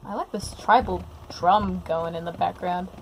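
A woman's voice: on the low side, steady tone, moderate pace, a little hiss or hum, rough recording. A woman giving casual, easily amused reaction commentary, thinking aloud as a cartoon plays. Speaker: reactor commenting on an animated series. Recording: music playing behind. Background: soundtrack of the cartoon being watched.

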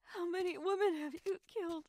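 A woman's voice: very high voice, fairly even intonation, brisk, warm tone. An actress playing a terrified but defiant young captive woman in an audio drama, emotional in her delivery. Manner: emotional, breaking into sobs and screams.

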